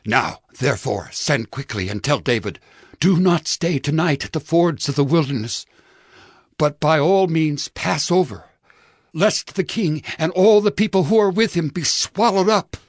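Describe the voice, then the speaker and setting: An actor playing a shrewd, persuasive ancient royal counselor in a dramatized audio Bible, speaking slowly with emphatic oratory. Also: male voice, on the high side, animated, slightly rough, no room sound, studio quality, exaggerated voice. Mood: urgent, hushed.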